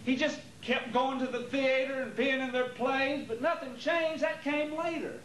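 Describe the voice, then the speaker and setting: A man's voice: extremely high pitch, steady tone, moderate pace, a bit bright. A man playing a troubled, loyal nephew who defends his uncle, in an amateur stage play. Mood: troubled, indignant. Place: theater during a rehearsal. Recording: roomy, noisy 1980s theater rehearsal tape.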